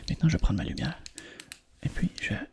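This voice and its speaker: A man with a Quebec French accent doing a soft, hushed, reassuring ASMR roleplay as an examiner. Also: quick pace.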